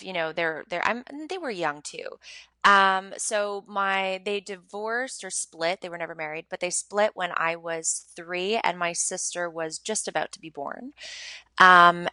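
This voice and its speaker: A woman in her thirties from Montreal speaks as a podcast guest. Her voice is bright and forceful but sits a little low. She talks frankly and reflectively, pausing on ums and correcting herself, close on a dry mic. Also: dry recording. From Montreal, Canada. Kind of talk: candid personal storytelling.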